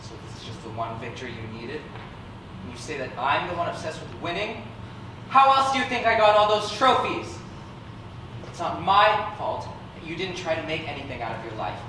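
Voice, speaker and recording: A woman's voice: low voice, sing-song, moderate pace, bright, forceful tone, exaggerated voice. Woman as haughty favored sibling, echoey stage recording. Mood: scornful. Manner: defensive, scornful. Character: haughty, competitive favored sibling loyal to father. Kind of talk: amateur stage drama. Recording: echoey room from far off, noisy.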